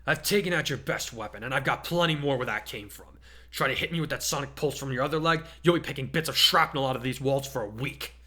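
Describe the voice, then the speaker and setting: A man's voice: medium pitch, fast, bright, forceful tone, lightly acted. Man acting a taunting teenage mock-villain boy. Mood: taunting, menacing. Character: teenage boy hero-student playing a taunting, cocky villain. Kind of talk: dramatic fan fiction reading.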